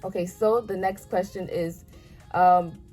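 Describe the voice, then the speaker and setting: A woman's voice: fairly low pitch, fairly even intonation, unhurried, mellow, faint music behind. A young woman, born in Nigeria and raised in the United States, chatting casually as she answers viewer questions about her hair, with lots of restarts and fillers. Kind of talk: casual Q&A vlog.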